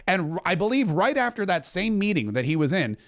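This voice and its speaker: Emphatic fairly high-voiced male commentator. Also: big pitch swings, a little fast. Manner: emphatic, rambling, breaks into loud singing. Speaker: political commentary podcast host. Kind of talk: animated political commentary.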